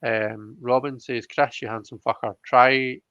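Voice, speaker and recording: A man's voice: medium pitch, fairly even intonation, unhurried, a bit bright, no room sound. A man chatting casually as the host of a live tarot reading, over a slightly muffled online call. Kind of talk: casual chat, reading viewer comments aloud.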